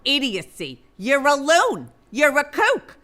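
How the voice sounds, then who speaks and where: A woman's voice: sits high, huge pitch swings, slow pace, bright, forceful tone, exaggerated voice. A woman playing a scornful, sardonic female vulture with emphatic delivery in a comic audio play. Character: brassy, mocking female vulture, scornful sidekick.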